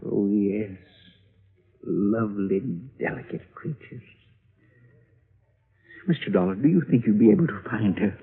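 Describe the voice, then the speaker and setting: Husky, halting elderly orchid man, old tinny radio sound. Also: on the low side. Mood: fretful. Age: older. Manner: halting, stammering, fussy. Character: frail elderly male orchid grower, nervous. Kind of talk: 1950s radio drama acting.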